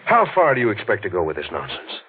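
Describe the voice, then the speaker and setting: A man's voice: on the low side, wildly swooping pitch, quick pace, breathy edge, full character voice. A man with an American accent playing a scornful, exasperated county prosecutor in 1940s radio drama style. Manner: indignant, declamatory. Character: exasperated, scornful male county district attorney. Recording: very noisy, tinny old radio recording.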